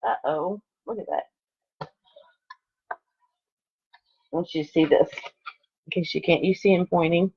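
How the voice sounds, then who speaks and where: A woman's voice: on the low side, a little slow, gravelly, pauses often, slightly rough. A woman, a second grade teacher, reading aloud to children online with chatty asides, in a lo-fi home recording. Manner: chatty asides between expressively read story lines.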